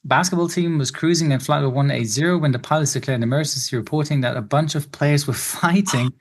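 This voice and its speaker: A man's voice: fairly high pitch, quick pace. A man giving casual, informal reaction commentary as a host, often breaking into a chuckle. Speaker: host reacting to recordings, pilot.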